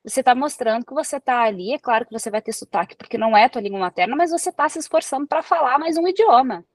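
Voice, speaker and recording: A woman from Brazil with a Brazilian Portuguese accent, a researcher talking as a guest in a relaxed interview and explaining with everyday examples. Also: sits high, fast talker, dry recording, clean studio sound. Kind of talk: casual interview conversation.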